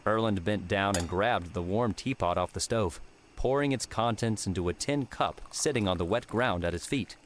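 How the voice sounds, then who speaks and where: A man's voice: fairly low pitch, moderate pace, slight background noise. A man reading scripted narration of an adventure novel as an audiobook narrator.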